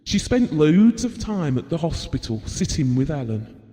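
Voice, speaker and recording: An actor playing a devoted, gentle husband and father, telling his memories earnestly and tenderly in an audio drama. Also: fairly high pitch, big pitch swings, normal speed. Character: Bill, devoted, gentle husband and father recalling a family tragedy. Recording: reverberant hall-like sound.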